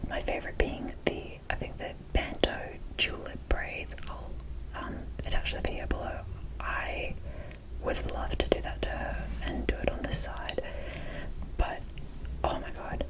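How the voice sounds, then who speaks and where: A woman doing casual, unscripted ASMR in a breathy whisper, chatting in a rambling, gushing way close to the mic. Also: measured pace, rough recording. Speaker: ASMR video creator.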